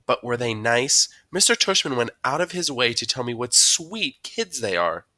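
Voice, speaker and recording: This is a man playing a loving mother in an audiobook, pitched high and softly coaxing. She sounds tender and concerned, with animated swoops and a dry, close sound. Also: moderate pace, no room sound. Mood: concerned, probing. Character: gentle, concerned, affectionate mother of a young boy. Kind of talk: audiobook character dialogue.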